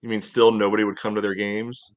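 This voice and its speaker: A man's voice: lowish voice, flat delivery, fairly quick, clean tone. A man co-hosting a podcast with dry, sarcastic, casual sports banter. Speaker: podcast co-host.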